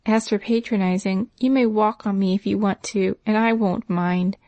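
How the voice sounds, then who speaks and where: Figure: humble servant girl, voiced by a woman. Pitch: raised. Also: animated, measured pace, darkish tone, no echo, dull audio. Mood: earnest.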